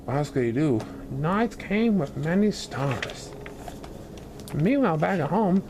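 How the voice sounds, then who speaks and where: Animated man reading a children's story. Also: high-pitched, vocal fry, a little hiss or hum, rough recording. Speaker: story reader.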